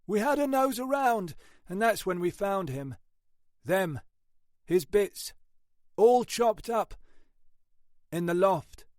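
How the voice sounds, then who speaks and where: A man's voice: sits high, lively intonation, takes it slowly, long pauses, no room sound, studio quality, voice pushed up. Man doing a gloomy blunt cop. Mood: grim. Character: weary police sergeant Ashford, blunt, gloomy man.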